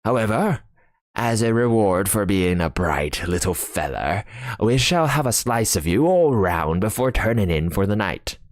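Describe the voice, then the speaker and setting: A man voicing a rough, hearty sailor from a storybook, speechifying in salty talk with dropped g's. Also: on the low side, animated, unhurried, no room sound, clean studio sound. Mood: encouraging. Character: rough, hearty old-fashioned sailor, bossy and chummy.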